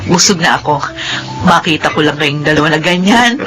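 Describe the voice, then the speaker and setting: A woman's voice: sits low, lively intonation, moderate pace, fairly bright tone, faint music behind. An actress playing a doting, sentimental middle-aged mother in a family drama, emotional and affectionate. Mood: tender, emotional. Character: doting, sentimental mother of two grown children. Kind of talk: Tagalog drama acting.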